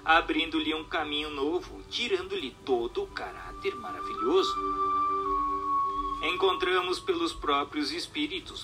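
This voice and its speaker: A man's voice: a little high, poor audio. A man reading a scripted text aloud as a narrator, in a formal, declamatory way.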